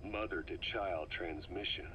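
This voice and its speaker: An actor playing a calm middle-aged military intelligence officer in a video game, asking probing questions in a dry, deliberate way. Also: male voice, sits low, poor audio. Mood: probing, serious. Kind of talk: dramatic video game voice acting. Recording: faint hiss on tape recording.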